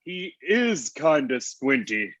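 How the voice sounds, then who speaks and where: A man's voice: medium pitch, big pitch swings, slow pace, fairly bright tone, no room sound, heavily acted. A man playing a sulky, lovesick old Santa Claus in a comedic table read. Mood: needy, sulky. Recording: dull lo-fi online call.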